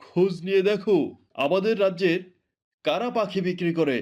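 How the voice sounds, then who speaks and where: A devoted king from a cartoon, acted by a man, with a high-pitched male voice. He is regal yet coaxing and reassuring, an indulgent husband by temper. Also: fairly quick, dull audio, lightly acted. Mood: commanding. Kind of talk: cartoon voice acting.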